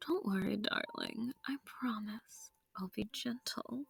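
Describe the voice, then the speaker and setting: A woman playing a centuries-old, sadistic and possessive female vampire in an intimate audio roleplay, silky and taunting, slipping into breathy whispers. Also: medium pitch, slow, a bit bright, no echo, full character voice. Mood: gloating, hungry. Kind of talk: intimate scripted audio roleplay addressed to the listener.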